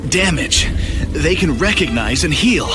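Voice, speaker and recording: A man's voice: fairly high pitch, animated, unhurried. A man playing an injured, anxious corporate scientist in a video game, hesitant and pained as he explains. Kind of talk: video game cutscene acting. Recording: loud music behind the voice.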